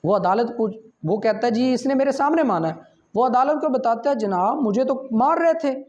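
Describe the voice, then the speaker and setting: Pakistani male law lecturer, didactic and deliberate. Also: very high-pitched, animated, darkish tone, dull audio. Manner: didactic, reads formal passages out slowly and deliberately. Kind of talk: lecture, explaining legal articles to students.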